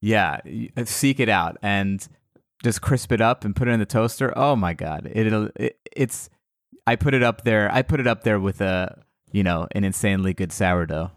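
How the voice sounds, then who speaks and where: Casual podcast guest, American man, lowish voice, dry close sound, rambling storyteller. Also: normal speed, dry recording. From United States. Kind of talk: casual chat.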